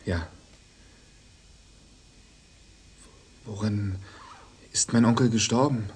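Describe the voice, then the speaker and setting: A man's voice: fairly low pitch, moderate pace, a little mellow, slight background noise, close to an everyday voice. An actor playing a polite, puzzled young policeman in a naturalistic radio drama. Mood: shaken, probing. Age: young adult.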